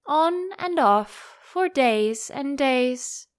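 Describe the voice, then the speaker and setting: A woman voicing a solemn, obtuse frog footman in a storybook, slow and literal-minded in his stubborn indifference. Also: sits high, lively intonation, dry recording, clean studio sound, pitched above the performer's own voice, full character voice. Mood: indifferent.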